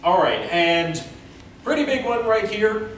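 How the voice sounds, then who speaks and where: A man presenting an informal convention panel, speaking haltingly as he thinks aloud. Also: high-pitched, expressive, a bit bright. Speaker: panel presenter, amateur director and voice actor. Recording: echoey room from far off, faint noise.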